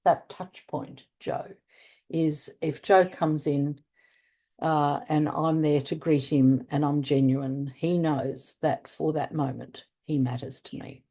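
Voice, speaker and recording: Older aussie lady, low warm voice, earnest, hunts for the right word. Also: fairly even intonation, takes it slowly. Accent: Australian. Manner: earnest, searching for words, emphatic. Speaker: restorative practice trainer. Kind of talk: conversational interview.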